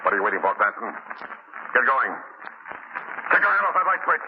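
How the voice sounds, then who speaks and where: An actor as a ruthless, domineering ship's doctor in an old radio thriller. His menacing delivery swings widely in pitch, on a tinny, noisy 1930s recording. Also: sing-song, brisk, natural voice. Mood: domineering threat. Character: ruthless, threatening fake doctor, a villain.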